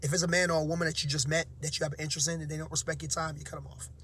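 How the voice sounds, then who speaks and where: A man who teaches, giving casual motivational advice to his viewers, slightly hoarse and half-awake, with frequent fillers and tags like "right?". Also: a little high, level tone, very fast, pushed and bright, slight background noise. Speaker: teacher and vlog host. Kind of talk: casual motivational talk to camera.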